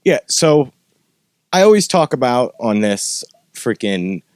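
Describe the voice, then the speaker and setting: A man's voice: medium pitch, very animated, slow pace, a little mellow, no room sound, clean studio sound. A man hosting a podcast in a casual, conversational style. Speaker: podcast host. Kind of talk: casual chat.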